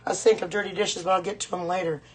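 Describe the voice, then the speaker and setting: A middle-aged woman with a hoarse voice, chatting casually and repeating herself as she hosts a live cooking demonstration. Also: on the low side, fairly even intonation, fast talker, background noise. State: hoarse voice, clearing throat at times. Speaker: host of a live home cooking stream. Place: home kitchen.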